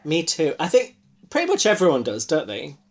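A man's voice: a little high, wildly swooping pitch, brisk. A man co-hosting a casual chat, talking in a chatty, teasing way and steering the conversation. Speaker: podcast co-host.

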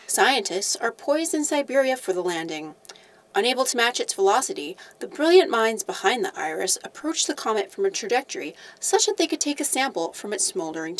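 A woman acts out an awed female news reporter for an audio drama. She speaks at a medium pitch with lively swings, in a measured and dramatic broadcast manner. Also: close to an everyday voice.

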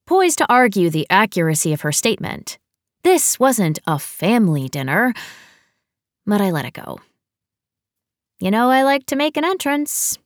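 American woman narrating, slightly low voice, dry sound. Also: no echo. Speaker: audiobook narrator. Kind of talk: scripted first-person narration of a romantic comedy novel.

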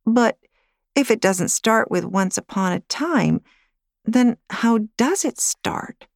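A woman voicing an eager, excitable six-year-old girl who pouts and pleads in an audiobook. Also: a little high, takes it slowly, creaky, dry recording, pitched above the performer's own voice, exaggerated voice. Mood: doubtful. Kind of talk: audiobook character voice.

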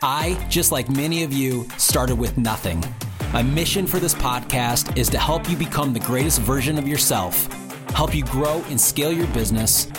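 Male intro announcer, mid pitch, scripted promotional read over music. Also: normal speed. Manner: polished, promotional delivery.

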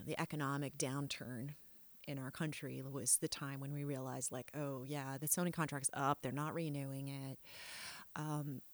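A middle-aged woman with a low voice looks back on her past in an interview. She is frank and reflective, often trailing off and restarting her sentences. The recording is close and dry. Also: dry recording. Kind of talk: candid personal storytelling.

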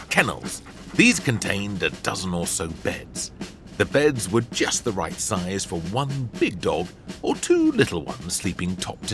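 Casting: low-voiced male narrator, animated, theatrical storybook reading, soft music under. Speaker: audiobook narrator.

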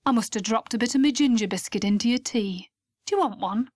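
A woman voicing a gruff, protective older mother who talks bluntly and scolds. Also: fairly high pitch, fairly quick, darkish tone, dry recording, clean studio sound, lightly acted. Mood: brusque.

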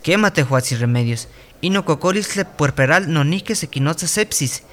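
A man playing a calm village doctor in a radio drama, explaining health advice in a steady, reassuring way. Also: a little high, normal speed. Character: calm male village doctor, patient and instructive.

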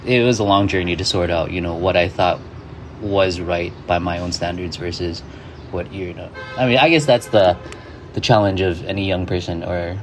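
A man's voice: deep voice, normal speed, a little hiss or hum. A man, a guest in an interview, reflecting in a loose way full of "like" and "you know". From Hawaii.

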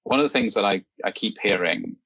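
A man hosting a podcast, talking thoughtfully in a relaxed, conversational way and asking his guest open questions. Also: fairly low pitch, brisk, gravelly, husky, no echo, lo-fi sound. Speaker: podcast host. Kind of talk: casual conversational discussion.

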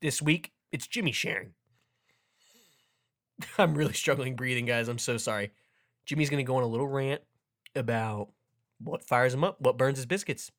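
A young man hosts a laid-back sports podcast, upbeat and joking. His voice sounds stuffy and keeps cracking from allergies. He talks at an ordinary middle pitch. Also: normal speed, pauses often, a bit bright. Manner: upbeat, joking, playfully hammy intros. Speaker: podcast host. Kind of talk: casual podcast chat.

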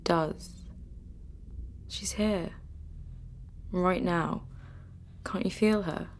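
An actress playing a grieving granddaughter in a naturalistic radio drama, tender and firm, speaking in a measured, earnest way. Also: female voice, fairly low pitch, a little hiss or hum. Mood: quietly insistent.

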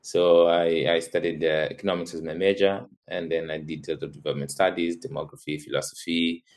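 A man from Zambia in his thirties, a college tutor, answering interview questions in a relaxed, hesitant way with many fillers and soft laughs. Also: sits low, brisk.

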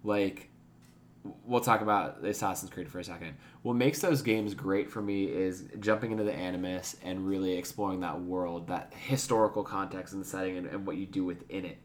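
Low-voiced man chatting, noisy background. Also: fairly even intonation, brisk. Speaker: podcast co-host. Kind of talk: casual reflective discussion.

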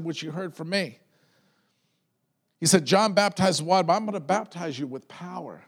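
A pastor preaching a sermon to his church. His voice is loud and set high for a man, and he talks in a relaxed way, stacking up repeated words as he builds a point.

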